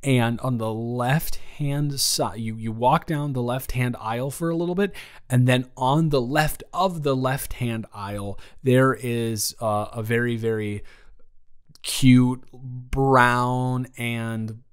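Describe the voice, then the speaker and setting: A man's voice: medium pitch, fairly even intonation, clean tone, lightly acted. Friendly, flirty office boss, played by a man. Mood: flirty, amused. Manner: halting, conversational, with stammered restarts and chuckles. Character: friendly, flirty, nervous-excited male office boss welcoming a new intern. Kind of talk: improvised romantic audio roleplay, spoken to the listener.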